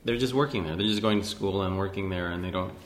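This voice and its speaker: Male interviewer, lowish voice, meandering questions, faint background noise. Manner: rambling, halting questions with many restarts. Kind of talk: oral history interview.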